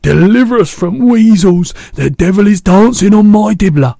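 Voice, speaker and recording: A man voicing a big friendly male giant who speaks in jumbled grammar and cries out loudly when excited. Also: sits high, moderate pace, gravelly, no echo, clean studio sound, voice pushed up. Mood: distressed.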